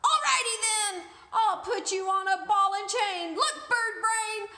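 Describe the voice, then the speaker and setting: A woman's voice: very high-pitched, measured pace, reverberant, voice pushed up, exaggerated voice. A woman voicing a rude, bossy pet parrot with a squawking screech for a storybook read-aloud. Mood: scolding, threatening. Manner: bossy, shouting insults.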